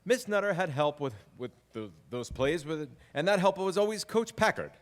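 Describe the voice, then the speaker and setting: A man's voice: on the high side, normal speed, lightly acted. An actor playing a nostalgic grown man fondly telling childhood memories in a scripted monologue before a live hall. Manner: animated, fond storytelling. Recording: reverberant live hall, music underneath.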